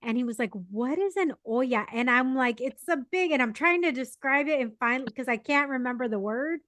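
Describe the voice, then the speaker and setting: A woman co-hosting a podcast, chatting casually and telling animated stories with fillers. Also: sits high, fairly quick, no room sound. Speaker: podcast co-host. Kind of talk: casual chat.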